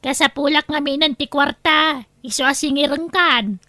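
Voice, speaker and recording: A woman's voice: sits high, fairly even intonation, moderate pace, pushed and bright, no echo. A woman with an Ilocano accent voicing a proud, demanding female moneylender in an audio drama. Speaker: voice actor.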